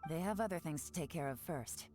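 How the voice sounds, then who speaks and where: An actress voicing a calm, commanding female spy leader in a video game. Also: fairly quick. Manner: calm, low, commanding and wry. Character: cool, sly adult female spy leader. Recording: game audio over loud music.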